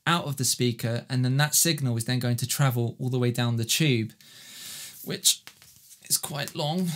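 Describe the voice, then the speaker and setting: Man presenting a guitar gear explainer. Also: medium pitch. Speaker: guitar effects demonstrator and presenter. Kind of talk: casual explanatory video presentation.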